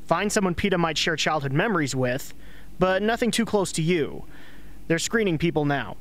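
A man's voice: on the high side, animated, normal speed, fairly bright tone. A man playing a blunt, world-weary mentor in an amateur radio play. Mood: brisk, blunt.

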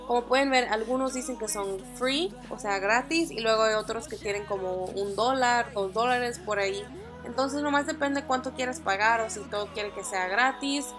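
A woman's voice: a little high, moderate pace, over music. A young woman whose first language is English, chatting upbeat through a screen-recorded tutorial with small fillers and restarts. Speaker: beauty vlogger.

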